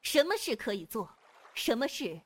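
A woman's voice: on the high side, sing-song, normal speed, husky. A woman playing a commanding, self-assured female martial sect leader in a Chinese wuxia audio drama. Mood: scornful, commanding. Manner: imperious, self-assured, clipped orders.

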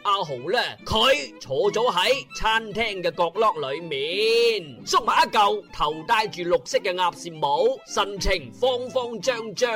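Bright, high-voiced Cantonese male storyteller over music. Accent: Cantonese, Guangdong. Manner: animated, wry, drawn-out phrases with interjections. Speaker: storyteller narrator of an audio drama. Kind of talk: dramatic comic scripted storytelling.

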